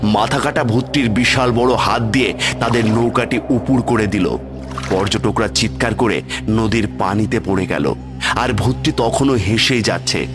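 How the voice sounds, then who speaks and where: A man's voice: lowish voice, normal speed. A man telling a scripted horror story as the narrator of a cartoon tale. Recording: loud music with cricket sounds behind.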